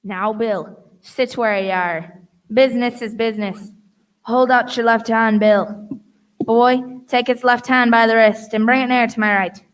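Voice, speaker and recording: A woman playing a high, menacing blind pirate. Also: steady tone, measured pace, clear, resonant tone, natural voice. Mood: cold, businesslike. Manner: theatrical, commanding. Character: menacing blind old male beggar pirate, wheedling and commanding. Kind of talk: radio play acting.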